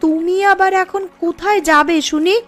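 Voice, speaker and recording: A woman's voice: very high voice, steady tone, moderate pace, faint music behind. A woman voicing a caring, fretful younger village woman in a cartoon story, with an entreating manner. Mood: anxious.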